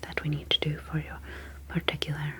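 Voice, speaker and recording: Woman doing calm alien scientist for asmr roleplay, whispery n super even, reassuring, clinical. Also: sits low. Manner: soft, slow, deliberate, reassuring.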